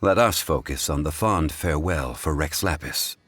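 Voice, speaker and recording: A man voicing a composed, courteous, scholarly gentleman in a video game, speaking in measured, formal, old-fashioned phrases. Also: a bass voice, slight background noise. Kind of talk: scripted video game dialogue.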